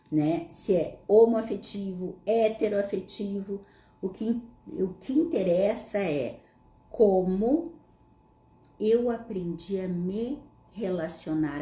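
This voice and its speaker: A woman with a Gaúcho accent from southern Brazil talks straight to her audience in a relaxed, explaining way. Her voice is warm and mellow. She is recorded in an echoey room. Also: mid-range voice. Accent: Gaúcho Brazilian Portuguese. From Brazil, Rio Grande do Sul. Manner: conversational, asks the audience rhetorical questions. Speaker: psychologist host answering audience questions. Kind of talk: casual explanatory monologue.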